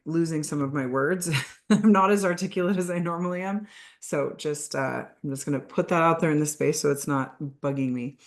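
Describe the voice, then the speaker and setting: Low-voiced woman coach, bit hoarse, casual and chatty on a group call. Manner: friendly, informal, loose restarts and fillers. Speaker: weight-loss coach.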